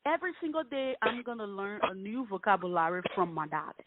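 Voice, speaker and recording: A woman from Liberia hosting a casual interview, chatty and opinionated, with lively emphasis. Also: medium pitch, brisk, heavy background noise. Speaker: interview host. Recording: dry, phone-like call audio.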